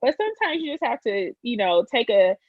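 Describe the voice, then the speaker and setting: A woman with a Detroit accent co-hosts a casual podcast, speaking candidly and reflectively. Her voice sits a little high and the recording is dry and slightly dull. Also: very animated, fairly quick, breathy edge, no echo. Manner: candid, reflective, many fillers. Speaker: podcast co-host.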